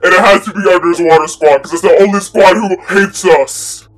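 A man's voice: high-pitched, fairly quick, crowd noise, lightly acted. A man voicing a bossy, irritable young vampire, curt and exasperated, in an anime parody dub. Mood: irritated.

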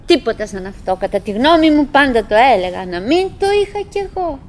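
An actress playing a cheerful, fretful middle-aged wife who muses to herself in fragments and sighs in a live stage monologue. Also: female voice, fairly high pitch, sing-song. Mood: wistful musing. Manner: fragmented musing with sighing exclamations. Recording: noisy 1979 live theatre recording.

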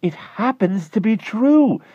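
A shrill, frantic eccentric man. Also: takes it slowly, husky, no room sound, muffled recording, full character voice. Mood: tense urging. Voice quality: high and shrill with excitement. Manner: urgent, pleading, breathless. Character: excitable peculiar man with shrill voice.

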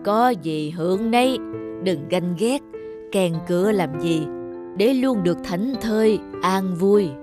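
A woman voicing a wise elderly father in a cartoon moral tale, measured and gently instructive. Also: medium pitch, animated, music in the background. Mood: calm advice. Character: calm, wise elderly father advising his son. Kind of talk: animated moral-tale dubbing.

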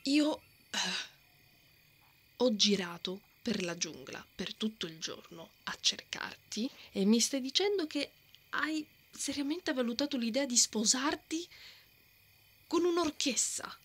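A woman's voice: fairly high pitch, expressive, slow, fairly bright tone, husky, exaggerated voice. A woman playing a brave, stubborn and protective female knight in a fantasy ASMR roleplay. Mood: stubborn, defensive. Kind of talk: ASMR romantic fantasy roleplay, acted monologue.

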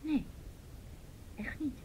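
A woman playing a reluctant, halting teenage schoolgirl in a radio drama. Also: a little mellow. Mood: guarded. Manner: halting, hesitant, guarded. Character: hesitant, reluctant teenage schoolgirl, grieving her murdered friend. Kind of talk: Dutch radio drama acting. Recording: dull old radio recording, faint rumbly noise.

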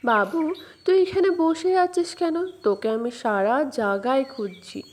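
Patient storybook dad acted by a woman, high-pitched, mellow, instructive. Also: a little slow. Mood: concerned, questioning. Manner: explaining step by step. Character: patient, instructive father of a young boy.

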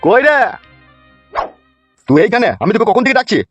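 A man's voice: very high-pitched, sing-song, normal speed, a bit bright, lo-fi sound, soft background music, heavily acted. A man voicing an exasperated, argumentative husband and father in a comedy cartoon. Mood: calling out. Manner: blustering, argumentative, swearing. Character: foul-mouthed, exasperated husband and father, blustering and defensive. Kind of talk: Bengali comedy cartoon dubbing.

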